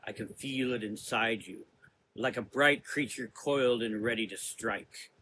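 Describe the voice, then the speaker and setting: Guy doing a menacing gothic sorcerer for an audio drama, bright forceful voice, slow smug lecturing, noisy background. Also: medium pitch. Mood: accusing. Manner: deliberate, lecturing, drawn-out phrases. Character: menacing, cerebral male gothic sorcerer, taunting and sure of himself.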